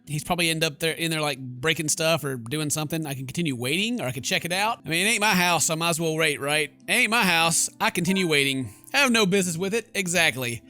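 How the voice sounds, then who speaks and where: A man's voice: on the high side, animated, quick pace, few pauses, pushed and bright, faint music behind. A man hosting a game playthrough, reading the text aloud and commenting in a joking, exclamatory, informal way. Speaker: gaming video host.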